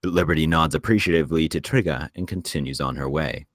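A man's voice: extremely low pitch, fast, no echo, clean studio sound. A man reading screenplay stage directions aloud as scripted narration. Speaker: screenplay narrator at a table read.

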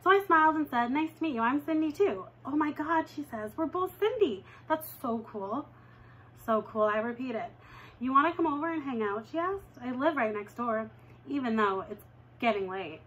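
A young woman reading a children's novel aloud at home, with lively jumps in pitch when she reads dialogue. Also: moderate pace, breathy edge, poor audio. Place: living room at home, indoors.

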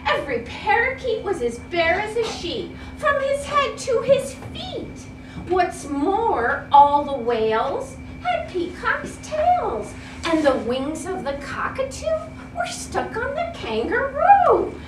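Shrill, squawky storybook birds played by a woman, panicked and pleading at a very high, swooping pitch. The room echoes around the voice. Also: wildly swooping pitch, takes it slowly, recorded from a distance, slight background noise, heavily acted.

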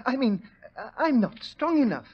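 An actor playing a meek, fretful suspect who stammers and pleads in a 1940s radio drama. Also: male voice, very high voice, very animated, normal speed, slightly rough, full character voice. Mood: flustered. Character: meek, fretful, timid male murder suspect. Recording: muffled old radio recording.

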